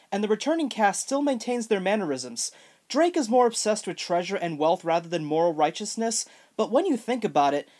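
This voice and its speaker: A woman's voice: mid-range voice, darkish tone. A woman reading a scripted video game review as narration.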